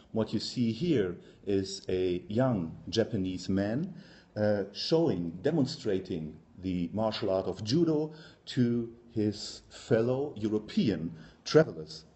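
A man in his forties with a German accent, giving an academic conference talk in a hesitant, halting manner with frequent pauses. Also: lowish voice, dark tone. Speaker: university professor, conference speaker. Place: lecture room, indoors.

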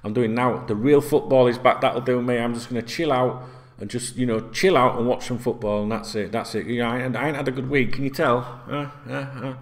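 A man's voice: mid-range voice, steady tone, a little fast. A middle-aged man, ranting casually and swearing a lot as a football vlogger talking straight to camera in a small room. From Yorkshire, England. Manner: sweary, rambling, conversational. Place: small indoor room, plain wall.

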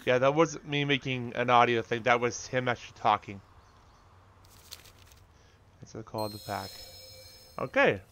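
A man's voice: medium pitch, fairly even intonation, a little slow, pauses often, a bit bright, slight background noise. A man giving casual, informal commentary as he plays a video game, reacting to what happens on screen. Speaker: gamer, video host.

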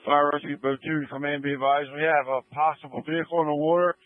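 A man's voice: fairly high pitch, level tone, fast talker, no room sound. A man working as a fire and rescue officer, reporting over emergency radio. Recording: narrow, dry emergency radio transmission.

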